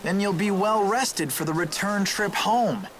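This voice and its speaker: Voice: mid-range voice, a little slow, a little hiss or hum, lightly acted. An actor playing an earnest, exasperated teenage boy hero in a video game. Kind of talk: video game English dub acting.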